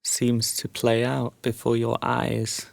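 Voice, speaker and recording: A man guiding a sleep meditation as a narrated story, soothing and hushed, leaving long pauses between phrases. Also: mid-range voice, completely flat delivery, vocal fry, no echo.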